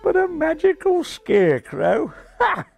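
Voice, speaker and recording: An excitable Australian pigeon from a children's storybook , put on by a male reader. He is brash and mocking, with a matey Australian accent. His pitch rides fairly high and jumps about a great deal. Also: measured pace, soft background music, exaggerated voice. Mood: amazed.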